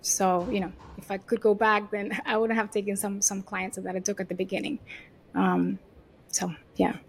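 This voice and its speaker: A woman with a Venezuelan Spanish accent talks through her work in a loose, chatty way. She sounds confident and upbeat, sprinkling her answers with little fillers. Her pitch sits in an ordinary middle range. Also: flat delivery, normal speed, vocal fry, husky, poor audio. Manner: chatty, leans on "you know" and "like". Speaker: agency CEO, guest. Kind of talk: casual interview answers.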